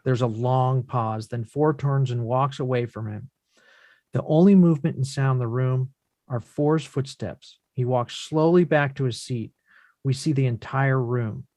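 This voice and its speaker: A man narrates the stage directions of a play from a script. His delivery is measured and descriptive, in a middle pitch. The recording is dry, with very little room sound. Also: a little mellow, dry recording.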